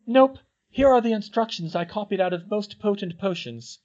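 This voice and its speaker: A man voicing an earnest, anxious teenage schoolgirl witch in a high, pleading voice. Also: moderate pace, a little mellow, lightly acted. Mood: earnest, determined. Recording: dull lo-fi recording.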